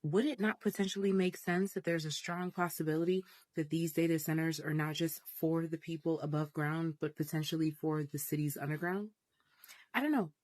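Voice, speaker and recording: A woman's voice: low voice, steady tone, a little fast, a little mellow. A woman gives an explanatory monologue as a social media creator addressing her viewers.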